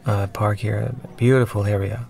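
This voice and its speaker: A man giving unscripted commentary on his own film, talking in a conversational, reflective and slightly halting way. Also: lowish voice, flat delivery, creaky, a little mellow. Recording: film soundtrack music playing behind. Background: film soundtrack music.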